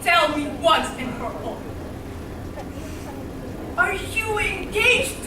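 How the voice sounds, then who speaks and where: A woman's voice: very high voice, animated, exaggerated voice. A teenage girl playing a haughty, spiteful, lovesick rich society woman in a declamatory, melodramatic amateur stage style. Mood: demanding. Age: teens. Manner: scornful, melodramatic, drawn-out emphatic delivery. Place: large indoor hall, school stage play. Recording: echoey hall, distant mic, noisy audience.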